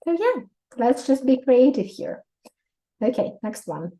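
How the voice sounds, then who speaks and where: Russian-accented woman teacher in her 30s, mellow voice, upbeat, lots of examples and little laughs. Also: sits high, unhurried. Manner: lively, laughs often, explains with examples. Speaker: English teacher. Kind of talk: exam prep lesson.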